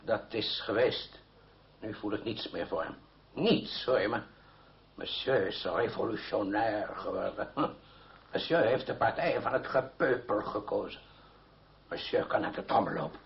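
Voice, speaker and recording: Creaky, blustering old aristocratic uncle. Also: male voice, fairly low pitch, measured pace. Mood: angry, bitter. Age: older. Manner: gruff, blustering, scolding. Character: irascible aging aristocratic uncle, blustering but wounded. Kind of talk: radio drama acting. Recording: dull old radio drama recording.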